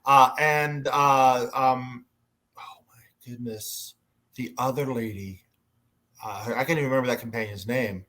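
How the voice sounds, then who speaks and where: A man's voice: mid-range voice, fairly even intonation, measured pace, pushed and bright. A man talking casually and effusively as a panelist on an online fan panel, rambling as he goes, on a headset. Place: indoors, online panel call. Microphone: headset on a video call.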